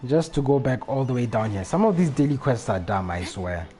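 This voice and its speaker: A man's voice: mid-range voice, lively intonation, fairly quick, dark tone, faint music behind. A man talking casually as a live game streamer, rambling and often repeating his phrases. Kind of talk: casual gaming livestream chat.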